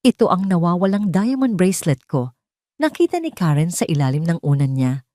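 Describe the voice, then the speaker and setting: A woman's voice: lowish voice, sing-song, brisk, mellow, dry recording, studio quality. A woman voicing a cruel, furious rich stepmother in an audio story, imperious and accusing.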